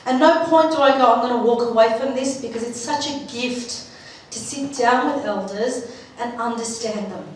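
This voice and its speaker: High-voiced woman speaking in an echoey hall. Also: moderate pace. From Turkey. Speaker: conference speaker. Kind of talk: informal personal presentation. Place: large echoey room at a live event. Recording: echoey hall, distant mic, faint noise.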